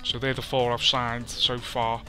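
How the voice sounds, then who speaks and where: A man's voice: medium pitch, monotone, measured pace, sharp tone, soft background music. A man with a Scouse accent casually commentating over a football video game, chatty and self-deprecating. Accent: Scouse (Liverpool). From Liverpool, England. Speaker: gaming commentator. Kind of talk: casual let's play commentary.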